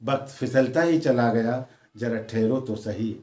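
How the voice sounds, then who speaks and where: A man's voice: medium pitch, a little slow, mellow. A man reciting his own poetry in a declamatory manner, repeating lines for emphasis and addressing listeners in spoken asides. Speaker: poet reciting own poem. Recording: roomy, echoing sound.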